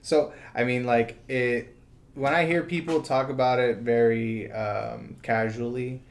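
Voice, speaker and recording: An American man with a mid-pitched voice hosts a casual news podcast. He thinks aloud in a rambling, halting way, circling back on his phrases and peppering them with "like" and "you know.". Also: fairly even intonation. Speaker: podcast host. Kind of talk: casual conversational commentary on current news.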